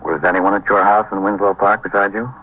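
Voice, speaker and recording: A man's voice: lowish voice, steady tone, fairly quick, lightly acted. A male actor playing a stern, methodical American police lieutenant in a 1950s radio drama, firing clipped, formal, pressing questions. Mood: probing, insistent. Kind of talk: 1950s radio acting, interrogation. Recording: muffled, noisy 1950s radio recording.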